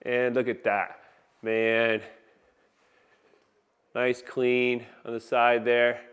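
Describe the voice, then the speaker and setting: Smooth-voiced man giving an informal install walkthrough. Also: mid-range voice, monotone, very slow, fairly bright tone. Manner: informal, enthusiastic salesman-like patter. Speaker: audio-video installer presenting his work. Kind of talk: casual video walkthrough. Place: inside a large open living room. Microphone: handheld phone camera.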